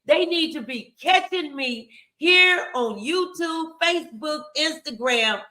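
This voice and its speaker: Lady host doing casual faith motivation talk, real bright voice, emphatic, talks right to viewers. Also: high-pitched, lively intonation, slow. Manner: emphatic, encouraging, addresses viewers directly.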